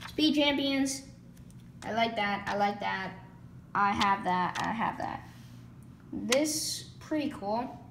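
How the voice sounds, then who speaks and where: Hoarse woman vlogger, slow unscripted listing, phone-recorded, noisy background. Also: mid-range voice. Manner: rambling, lists items quickly with filler words. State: losing her voice, hoarse. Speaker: YouTuber flipping through a toy catalog. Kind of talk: casual off-the-cuff vlog commentary. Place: indoors, room. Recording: echoey room with clear background noise.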